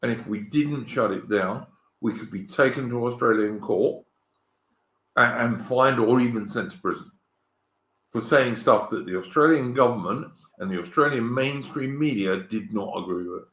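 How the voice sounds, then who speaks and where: An English man hosting a casual online political chat, halting and searching for words, with many ums and restarts. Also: medium pitch, fairly bright tone. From England. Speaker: host. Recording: echoey room.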